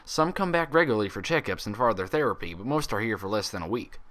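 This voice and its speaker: A man's voice: on the low side, expressive, fast, a bit bright. Man voicing a folksy, frank cartoon pony mare doctor. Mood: proud, friendly.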